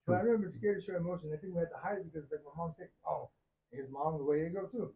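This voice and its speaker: A man's voice: fairly high pitch, rapid-fire, vocal fry, mellow, dull audio. A man on a podcast, talking candidly in a loose, rambling way with frequent restarts. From United States. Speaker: podcast guest. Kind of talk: casual chat.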